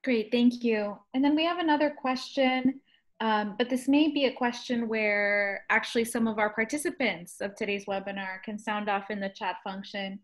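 A woman moderating a webinar over a video call, reading out audience questions in a measured way with soft ums. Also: fairly high pitch, fairly even intonation.

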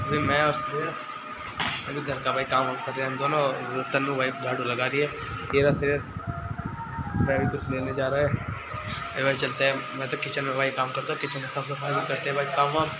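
Teenage boy, hoarse throat, chatty home vlog, phone mic at arm's length, loud background noise. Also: mid-range voice. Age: teens. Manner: chatty, filler-heavy, addresses viewers as "bhai" and "guys". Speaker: vlogger. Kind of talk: casual day-in-the-life vlog. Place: small home rooms indoors. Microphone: phone camera held at arm's length.

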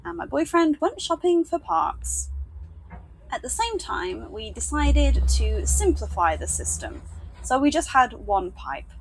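A woman's voice: a little high, no room sound, low-quality recording. A woman in her thirties narrating a sailing vlog, telling the week's story with upbeat asides. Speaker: sailing vlogger. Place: boat cabin with wooden panels.

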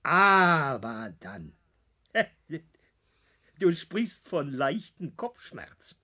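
An actor playing a nervous, fussy small-time crook with a Berlin accent in a 1960s German radio play, agitated and argumentative. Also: male voice, a little high, very animated, extremely slow, sharp tone, full character voice. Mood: doubtful.

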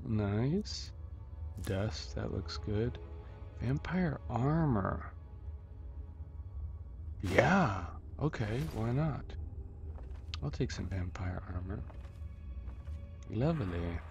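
A man giving casual commentary on his own gameplay, muttering and thinking aloud with halting delivery and mild folksy exclamations. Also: lowish voice, expressive, vocal fry, darkish tone. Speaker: gamer narrating own playthrough. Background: video game music.

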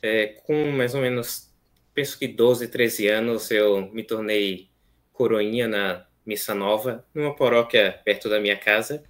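A young man in his twenties from São Paulo with a São Paulo accent, hesitantly telling his story on a video call from a small plain room. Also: mid-range voice. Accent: São Paulo Portuguese. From São Paulo, Brazil. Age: 20s. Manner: soft-spoken, hesitant with many fillers. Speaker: seminarian guest. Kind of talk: casual interview, recounting his story. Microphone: video call webcam.

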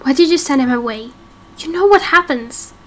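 A woman's voice: high voice, expressive, moderate pace, a bit bright. A woman voicing a defiant, protective female character in a game roleplay, accusing and earnest. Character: defiant, protective woman challenging her leader.

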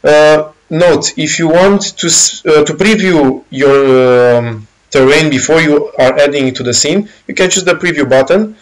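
A man with a Romanian accent casually walking through a game engine's features, pausing often with fillers and correcting himself. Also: on the high side, low-quality recording. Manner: halting, many fillers and self-corrections. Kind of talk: casual software walkthrough.

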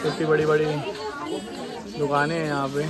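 A man's voice: fairly high pitch, flat delivery, normal speed. A man in his thirties chatting through a casual travel vlog, mixing English words into his Hindi, filmed on a handheld phone at arm's length in a busy street market. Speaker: vlogger. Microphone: phone camera at arm's length. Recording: street crowd and music behind.

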